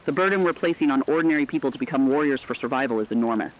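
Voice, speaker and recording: A man's voice: mid-range voice, lively intonation, very fast, close to an everyday voice. A man playing a solemn, earnest radio commentator, giving rhetorical scripted commentary during an emergency broadcast. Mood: somber, concerned. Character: solemn, humane male radio commentator in an apocalypse. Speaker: radio co-host. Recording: dull, lo-fi radio sound.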